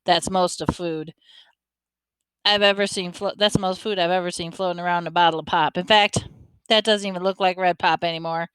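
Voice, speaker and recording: A woman's voice: on the low side, fairly even intonation, brisk, fairly bright tone, clean tone, dry recording, natural voice. A woman reading a folksy, teasing grandfather. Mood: kindly. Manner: folksy, joking, chatty. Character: friendly teasing older man, grandfather, army veteran.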